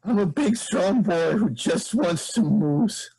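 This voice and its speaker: A woman's voice: high voice, fairly even intonation, takes it slowly, fairly bright tone, slight background noise, low-quality recording. A woman playing a dim, boastful moose-man with a childish, simple manner in a comedic audio drama. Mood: whiny, pitiful.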